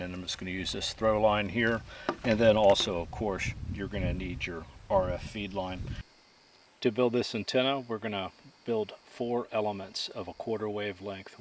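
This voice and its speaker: A man calmly explaining a hands-on build step by step, in a practical, methodical way. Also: on the low side, slight background noise. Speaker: instructor. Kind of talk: step-by-step how-to instruction.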